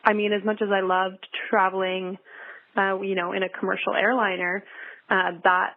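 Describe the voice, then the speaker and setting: A woman's voice: mid-range voice, level tone, moderate pace, no room sound. A young adult woman talking casually as a podcast guest, chatty with many fillers and restarts. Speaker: guest pilot. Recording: dry, tinny phone-like line.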